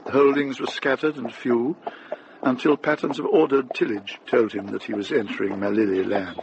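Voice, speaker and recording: A man's voice: mid-range voice, steady tone, normal speed. A man reading scripted literary narration as the narrator of a radio drama. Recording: dull recording with clear noise.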